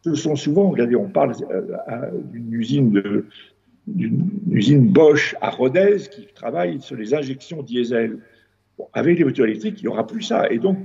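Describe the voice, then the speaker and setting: A man's voice: medium pitch, vocal fry, dark tone, slightly rough, highs cut off. A man from France, a city councillor, earnestly explaining a report as a discussion guest. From France, Avignon. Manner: earnest, measured, with frequent restarts.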